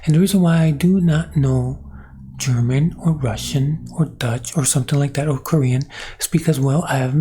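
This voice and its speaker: A man's voice: fairly high pitch, warm tone, slight background noise. A man whose first language is Spanish, thinking aloud in a rambling monologue with many restarts and questions to the viewer. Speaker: channel host. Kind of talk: reflective monologue.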